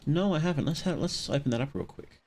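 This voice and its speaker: A man hosting a livestream, chatting casually with viewers in a rambling, halting way and often interrupting himself. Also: fairly high pitch, animated, a little mellow, soft background music. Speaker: livestream host.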